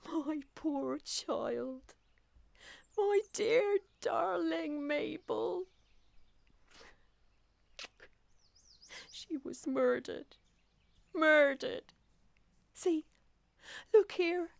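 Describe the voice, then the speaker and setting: A high, gravelly-edged woman's voice, fragile and grief-stricken, as a madwoman in Victorian melodrama. She speaks slowly, with anguished, theatrical weight and a haunted air. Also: dry recording, full character voice. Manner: anguished, drawn-out theatrical delivery. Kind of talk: Victorian melodrama audio acting.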